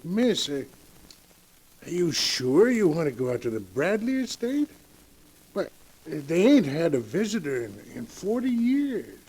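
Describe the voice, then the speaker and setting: A man's voice: a little high, big pitch swings, unhurried, a little mellow, breathy edge, exaggerated voice. A man playing a folksy, hesitant village cab driver with rustic grammar, in 1940s radio drama style. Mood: uneasy, cautioning. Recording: noisy old 1940s radio recording.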